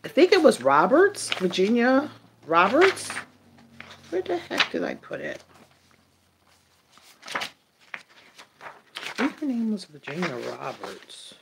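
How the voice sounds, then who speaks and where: A woman doing a casual, improvised tarot reading for viewers, thinking aloud in a halting way and trailing off mid-phrase. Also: fairly high pitch, huge pitch swings, creaky, very noisy. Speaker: tarot reader.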